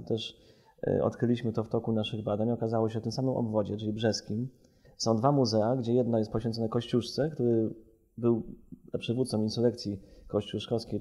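Mellow, lowish-voiced man discussing analytically. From Poland. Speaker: researcher, podcast guest.

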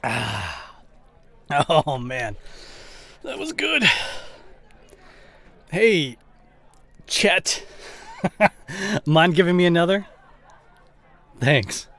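A man's voice: a little high, very animated, very slow, long pauses, a bit bright, dry recording, heavily acted. A man playing an evasive, defensive boyfriend with a drinking habit in an audio roleplay, speaking in a hesitant, one-sided conversation. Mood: tipsy, dismissive. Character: evasive drinking boyfriend, dismissive then guilt-stricken.

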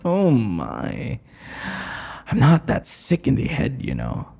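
A man voicing a teasing, possessive centuries-old vampire captor in an intimate ASMR roleplay, breathy and husky, mock-tender and taunting. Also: medium pitch, wildly swooping pitch, dark tone, exaggerated voice. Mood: mocking, irritated. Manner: slow, mock-tender, taunting, often dropping to whispers. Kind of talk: ASMR boyfriend roleplay, intimate dramatic monologue.

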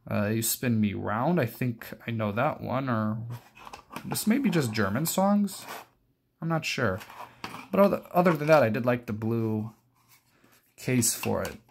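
A man's voice: mid-range voice, lively intonation, a little slow, vocal fry, long pauses, a little hiss or hum. A young adult man giving a casual, unscripted show-and-tell, rambling hesitantly and often correcting himself. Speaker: collector presenting his cassette collection.

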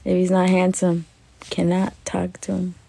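A woman in her twenties chatting casually on a livestream, lying in bed and answering comments with lots of fillers, in a voice she calls deep. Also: completely flat delivery, unhurried, vocal fry, warm tone, no echo, poor audio. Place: bedroom, lying on a bed indoors. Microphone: phone at arm's length.

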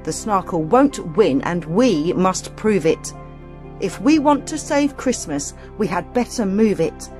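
A woman's voice: medium pitch, lively intonation, a little slow, darkish tone, soft background music, natural voice. A woman voicing Santa's determined, distressed wife in an earnest, pleading, emphatic storybook manner. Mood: urgent.

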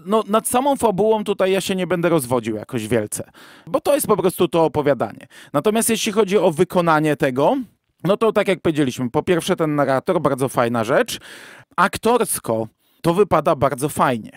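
A man's voice: on the high side, no room sound, clean studio sound. A man co-hosting a podcast, giving a casual, informal and matter-of-fact review. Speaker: podcast co-host.

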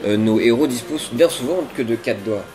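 A man's voice: mid-range voice, brisk, over music. A man, hosting a web show in casual, slangy, tongue-in-cheek chat with some hesitation. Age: 20s. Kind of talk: casual scripted chat to camera. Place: busy diner booth, indoors.

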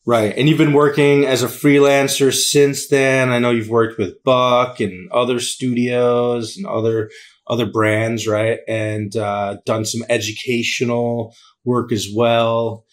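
A man co-hosting a podcast, introducing a guest in a quick, upbeat, casual way with fillers. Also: mid-range voice, level tone. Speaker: podcast co-host, former teacher. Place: home room with bookshelves.

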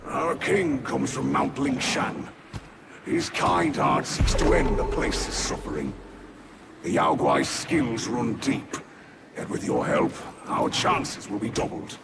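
An actor playing a burly, humble, courteous tiger warrior in a video game, gravelly and formal, with theatrical delivery. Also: male voice, medium pitch, very animated, takes it slowly, heavily acted. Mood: earnest. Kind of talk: video game character acting. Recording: loud ambient noise behind voice.

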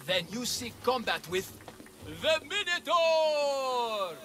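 An actor playing a grandiose male barker who announces challengers with drawn-out theatrical flair, in a video game. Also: extremely high pitch, big pitch swings, full character voice. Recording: game music underneath.

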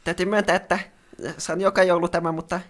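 A man's voice: high voice, flat delivery, lightly acted. A man voicing a polite, mild male teddy bear in an improvised comedy cartoon dub, speaking hesitantly and apologetically.

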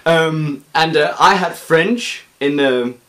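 A man's voice: fairly high pitch, slow pace, a bit bright. Chatty young Danish man, rambling. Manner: chatty, rambling, lots of fillers and restarts. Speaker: beer-tasting vlogger. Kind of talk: casual chat. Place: indoor room.

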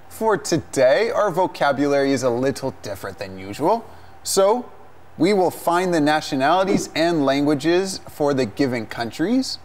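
A young man, a native English speaker, co-hosting a televised English lesson from a studio set in a friendly, upbeat way. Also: a little high. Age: young adult. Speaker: English teacher co-host.

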